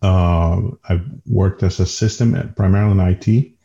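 A man's voice: sits low, fairly even intonation, moderate pace, creaky, warm tone, lo-fi sound. A man from the Dominican Republic, a native Spanish speaker with a Dominican accent, telling his story casually as a podcast guest and often restarting phrases.

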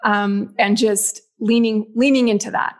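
A woman's voice: medium pitch, fairly even intonation, unhurried. A woman in her thirties whose first language is Spanish, a guest on a podcast talking in a reflective way with many fillers. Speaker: guest, business mentor. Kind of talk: casual podcast conversation.

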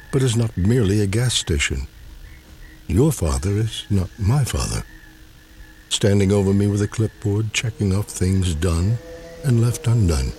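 A man with a low, creaky voice recites a poem. He takes it very slowly, setting down each phrase with deliberate care. Ambient noise sits clearly behind the reading. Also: darkish tone. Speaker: poetry reader.

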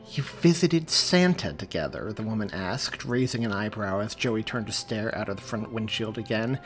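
A man hosting a podcast, reading aloud a chapter of a story he wrote, with friendly, apologetic asides. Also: mid-range voice. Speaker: podcast host and author reading his story. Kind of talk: audiobook narration.

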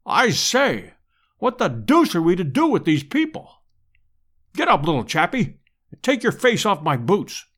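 A man voicing a bold, breezy gentleman adventurer in an audiobook, speaking in clipped upper-class slang. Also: sits high, wildly swooping pitch, unhurried, breathy edge, heavily acted. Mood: perplexed, breezy. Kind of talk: dramatised audiobook character reading.

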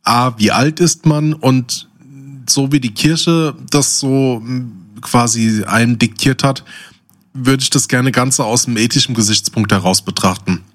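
A man's voice: medium pitch, measured pace. A man chatting casually as a podcast co-host, reflecting and thinking aloud in a discursive way.

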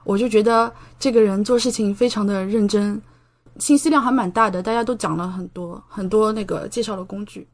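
A woman's voice: medium pitch, a little fast. A young woman from China, a podcast guest, explaining things in a conversational interview style. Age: young adult.

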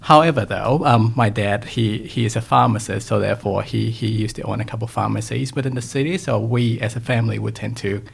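A young man from Thailand, a podcast guest talking reflectively about his upbringing in a relaxed conversation. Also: on the low side, a little fast, gravelly, hardly any pauses, husky. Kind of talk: casual interview conversation.

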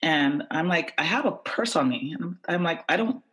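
A woman's voice: sits low, normal speed. A young woman telling a personal anecdote, animatedly voicing the people in it. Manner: lively, animated quoting of others. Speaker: storyteller. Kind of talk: personal anecdote told to an audience.

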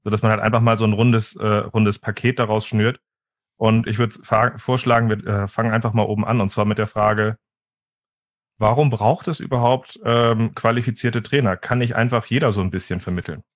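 A man's voice: lowish voice, fairly even intonation, moderate pace, dry recording. A man who moderates a panel, putting questions to the guests in an easygoing, casual way.

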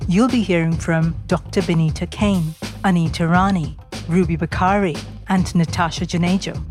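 A woman from India hosting a podcast, reading a measured introduction and then interviewing a guest. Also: lowish voice, fairly even intonation, music in the background. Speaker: podcast host.

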